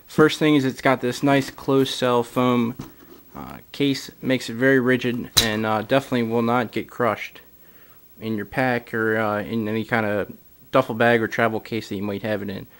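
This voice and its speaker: A man's voice: mid-range voice, flat delivery, moderate pace, a little hiss or hum. A man giving a casual product walkthrough as a gear reviewer, filler-heavy, recorded indoors on a camera mic. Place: indoors, camera over a carpeted floor.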